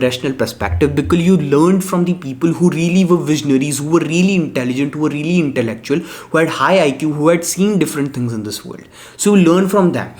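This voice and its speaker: Earnest young man giving life advice. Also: on the high side. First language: Gujarati. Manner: earnest, preachy, repeats short punchy words. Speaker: self-help vlogger. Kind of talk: motivational monologue to camera.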